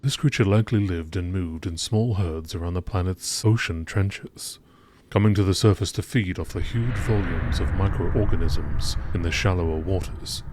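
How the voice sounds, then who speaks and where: A man reading scripted narration in a nature documentary style, in a measured, formal manner. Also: low voice, darkish tone, slight background noise. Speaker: narrator reading game text.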